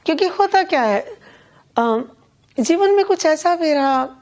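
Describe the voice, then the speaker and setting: An older woman being interviewed as a poet and event organiser, speaking in a measured, earnest, deliberate way. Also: extremely high pitch, lively intonation, darkish tone. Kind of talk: reflective conversational interview.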